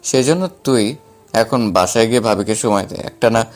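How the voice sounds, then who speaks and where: A man's voice: fairly low pitch, moderate pace, soft background music, close to an everyday voice. A man playing an assertive, caring younger brother in an audio story drama. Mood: insistent, reassuring.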